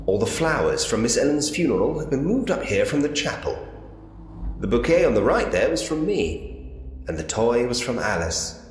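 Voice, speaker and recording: A man's voice: mid-range voice, big pitch swings, moderate pace, a little hiss or hum, natural voice. A man voicing a polite, drily whimsical Victorian police inspector who muses to himself in an adventure game. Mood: sombre. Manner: musing to himself, genteel, drily humorous. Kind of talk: point-and-click adventure game voice acting. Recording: reverberant room with long echo. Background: game music.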